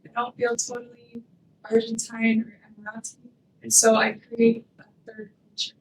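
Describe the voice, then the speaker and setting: A woman from Canada, a ministry intern, speaking to a church about where she grew up in a soft, measured way. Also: fairly high pitch, completely flat delivery, slight background noise, low-quality recording. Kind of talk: personal testimony in church.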